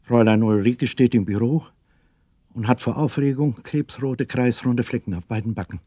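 A man narrating a children's radio play as an expressive storyteller with scripted delivery. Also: medium pitch, moderate pace, husky, no echo, studio quality, lightly acted. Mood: storytelling.

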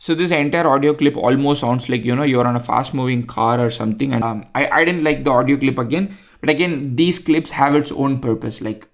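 A man's voice: mid-range voice, brisk, few pauses. A young man with an Indian accent, explaining a coding tutorial in a chatty way with many fillers and restarts. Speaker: tutorial host. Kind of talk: screen-recorded coding tutorial.

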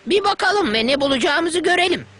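Bright, high-voiced young duckling. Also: female voice, animated, brisk. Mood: easygoing. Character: friendly, easygoing young cartoon duckling. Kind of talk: animated cartoon dub acting.

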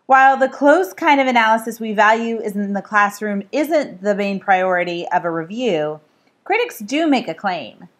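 A woman author reading aloud from her nonfiction book, explaining in measured phrases. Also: mid-range voice, expressive. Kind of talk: scripted read-aloud of nonfiction.

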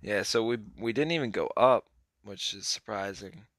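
A young man casually commenting on a mobile card game as he plays, hoarse and clearing his throat, his voice trailing off in a laid-back mumble. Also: on the low side, moderate pace, a bit bright, no room sound, clean studio sound.

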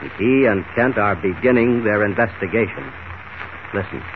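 A man's voice: lowish voice, normal speed. Male vintage radio announcer, declamatory serial narration, thin band-limited sound with faint hiss. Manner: dramatic, declamatory. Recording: tinny, noisy 1940 radio recording.

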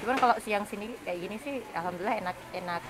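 Fast-talking young woman, noisy restaurant. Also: mid-range voice. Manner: chatty, many fillers. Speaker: restaurant customer. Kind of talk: casual interview. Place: open bamboo hut restaurant. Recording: noisy outdoor ambience.

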